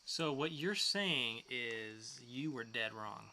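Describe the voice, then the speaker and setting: A man's voice: mid-range voice, lively intonation, slow pace, sharp tone, a little hiss or hum. A man co-hosting a podcast in casual banter, putting questions and moving the show along. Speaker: podcast co-host reviewing comics.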